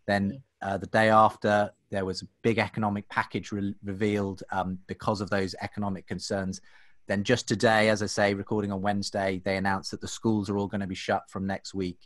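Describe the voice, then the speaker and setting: Guy in his 30s hosting a talk show from his living room, lowish voice, dry close sound, lots of ums and restarts. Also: no echo. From England. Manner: chatty, many fillers and restarts. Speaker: host. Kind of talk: casual podcast hosting. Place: home room. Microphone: desk microphone, webcam.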